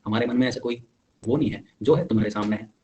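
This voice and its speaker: A man's voice: mid-range voice, fast talker, warm tone, dull audio. A young man gives an informal spoken update to his peers, insistent and repetitive as he urges them on. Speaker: exam candidate giving updates to fellow applicants.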